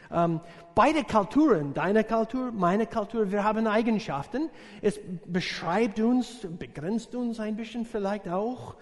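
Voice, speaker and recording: An American man, a visiting missionary preacher, speaking a sermon in a learned language with many pauses and fillers, joking with the congregation. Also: high-pitched. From USA. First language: English. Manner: halting, searching for words, many fillers, jokes with congregation. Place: church service hall. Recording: reverberant church hall.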